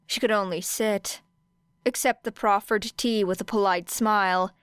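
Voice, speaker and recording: Female story narrator: very unhurried, crisp and resonant, deliberate phrasing, dry sound. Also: medium pitch, a bit bright, dry recording. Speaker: audiobook narrator. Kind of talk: scripted fiction narration.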